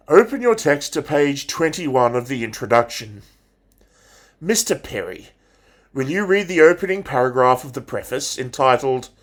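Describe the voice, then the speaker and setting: Man playing a slow, impassioned male teacher. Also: medium pitch, a bit bright. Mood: formal. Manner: declamatory, deliberate, with dramatic pauses. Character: passionate, theatrical male English teacher rousing his pupils. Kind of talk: dramatic monologue recitation.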